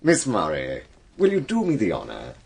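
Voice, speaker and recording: A man's voice: low voice, huge pitch swings, normal speed, gravelly, a little mellow, breathy edge, exaggerated voice. An actor playing a pompous, gallant but harsh English clergyman in a period audio drama, with a formal, haughty manner. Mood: courtly. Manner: formal, haughty, swinging from courtly to irate.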